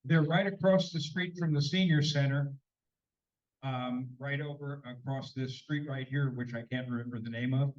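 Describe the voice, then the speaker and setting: A man co-hosting a neighborhood homeowners' meeting in a senior center hall, talking haltingly with many fillers and a slight cold. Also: mid-range voice, fairly even intonation, lo-fi sound. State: sneezing, slightly congested. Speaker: meeting co-host.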